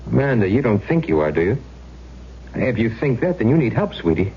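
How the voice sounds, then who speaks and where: An actor playing a skeptical, exasperated young lawyer, emphatic, in a 1970s radio drama. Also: male voice, on the low side, big pitch swings, brisk. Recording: dull old radio broadcast with hum.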